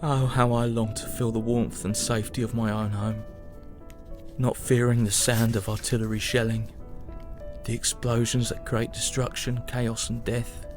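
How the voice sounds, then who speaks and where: An actor playing a weary, grieving young British soldier, narrating his war journal in a solemn, measured, mournful way. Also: mid-range voice, level tone, natural voice. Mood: bleak, weary. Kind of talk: dramatic first-person journal narration. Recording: music behind the voice. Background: music.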